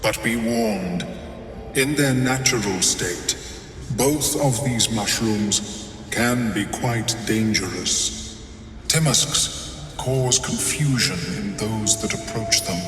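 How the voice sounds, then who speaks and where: A man playing a calm, scholarly mind flayer in a video game, formal and politely clinical, with a detached, measured manner. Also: lowish voice. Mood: measured, cautioning. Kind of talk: video game dialogue acting. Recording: echoing hall with long reverb, faint music.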